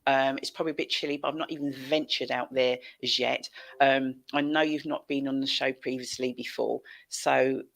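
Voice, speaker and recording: A woman's voice: a bass voice, steady tone, normal speed, creaky, fairly bright tone. A middle-aged woman hosting a casual livestream from a headset, effusive and repeating phrases for emphasis. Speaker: show host. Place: small indoor room on video call.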